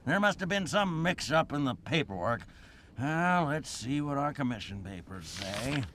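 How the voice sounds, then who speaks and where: A man's voice: mid-range voice, expressive, normal speed, a bit bright, slight background noise, natural voice. A man playing a crotchety, officious old veteran ghost in a comic audio drama, with a blustery, theatrical manner.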